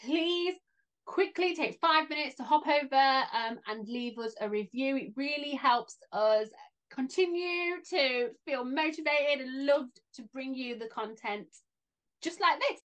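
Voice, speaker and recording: A woman's voice: high-pitched, lively intonation, a little slow, fairly bright tone. A woman co-hosting a podcast, talking in an upbeat, friendly casual way. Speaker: podcast co-host.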